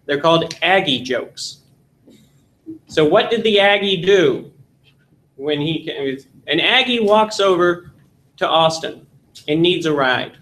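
Texan man lecturing, lively joke-telling. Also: a little high, slow pace, pauses often, slight background noise. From Texas. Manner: animated storytelling with jokes, gesturing. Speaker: seminar instructor. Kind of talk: classroom lecture. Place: seminary meeting room, indoors.

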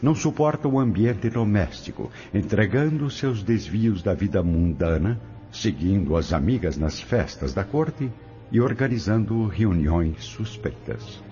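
A man narrating a radio drama in a dramatic, measured storytelling manner. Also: on the low side, lively intonation, dark tone, lo-fi sound, soft background music.

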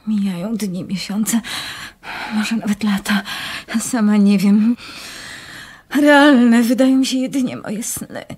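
A woman acting as a troubled, dreamy nun in a radio play, halting and fragile, with a breathy, often whispering voice. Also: mid-range voice, darkish tone. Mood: dreamy, distant. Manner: halting, haunted.

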